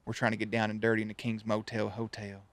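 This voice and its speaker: A man's voice: lowish voice, completely flat delivery, fast, fairly bright tone. A man giving casual reaction commentary on a TV show, joking around with slang and swearing. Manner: jokey, playful, slangy and profane. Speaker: reaction video host.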